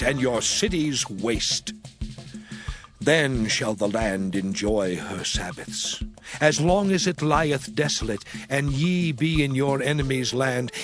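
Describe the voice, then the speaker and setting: A man performs a stern male deity in a dramatized scripture recording. The voice sits a little high for a man and declaims solemnly in measured, archaic phrases. Music plays clearly behind it. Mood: stern, wrathful, then grave, foreboding.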